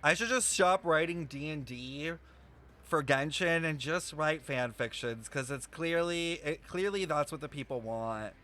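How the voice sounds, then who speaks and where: Animated young male streamer, slightly high voice. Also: unhurried. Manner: expressive, slangy, swearing. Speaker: livestreamer. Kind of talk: casual game-reaction chat with viewers. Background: game music.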